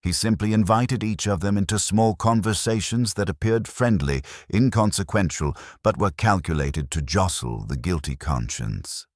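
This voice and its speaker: A man narrating a scripted mystery story in a measured, literary and theatrical manner. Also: sits low, dry recording, studio quality.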